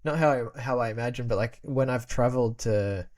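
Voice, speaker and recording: A man's voice: medium pitch, a little fast, a little mellow. A young man talks in an easygoing, rambling way, full of "like" fillers and restarts. He speaks as a casual podcast guest who grew up speaking Albanian at home, and he laughs often as he goes. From Australia.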